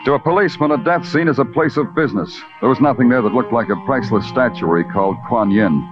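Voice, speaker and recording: An actor playing a hard-boiled New York police detective in a radio noir, dry and laconic, with moody narration. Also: male voice, mid-range voice, fast, music in the background, lightly acted. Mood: grim, businesslike. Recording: muffled old radio recording.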